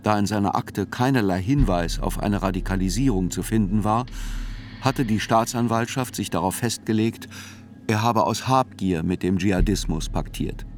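A man's voice: on the low side, normal speed, a little hiss or hum, natural voice. An actor playing a sober, reflective male reporter who narrates a radio drama in literary reportage style.

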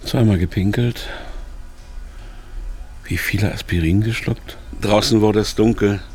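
A captive businessman in a radio drama, his voice on the low side. He mutters anxious thoughts in short, broken phrases. Music plays clearly behind him. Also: steady tone, measured pace, a little mellow. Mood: tense, observing. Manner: terse, fragmented phrases. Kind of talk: radio drama inner monologue and narration.

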